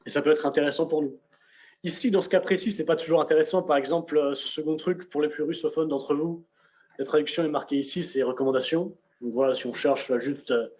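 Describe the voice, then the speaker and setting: A young man giving a casual on-screen software walkthrough, talking conversationally with frequent fillers and restarts, recorded on a computer mic. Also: fairly high pitch. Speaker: presenter of a software demonstration. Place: indoors at a computer.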